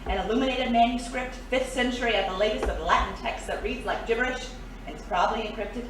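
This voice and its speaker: A woman's voice: fairly high pitch, fast, distant mic, natural voice. A woman playing a shrewd, world-weary thief in a pulp adventure audio drama, cool and knowing. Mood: grave. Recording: echoey, off-mic, faint noise.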